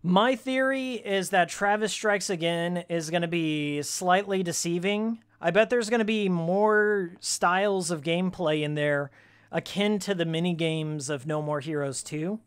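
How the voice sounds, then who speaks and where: A live game streamer with a medium-pitched, raspy voice that sounds worn from screaming. The talk is casual and rambling, full of fan trivia, slang and blunt, joking opinions. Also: unhurried.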